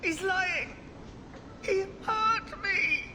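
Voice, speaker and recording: A woman's voice: very high-pitched, extremely slow, noisy background, full character voice. A woman playing a terrified, crying young captive in a dramatic video game style.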